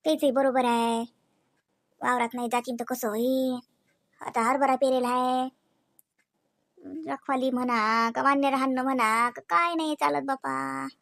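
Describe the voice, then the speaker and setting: A woman's voice: sits high, fairly even intonation, measured pace, vocal fry, pauses often, fairly bright tone, no room sound, clean studio sound, heavily acted. Woman voicing a grumbling old Vidarbha village mother-in-law. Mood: weary grumbling. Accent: Vidarbha Marathi. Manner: colloquial, complaining, rhetorical questions. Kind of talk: cartoon comedy dialogue.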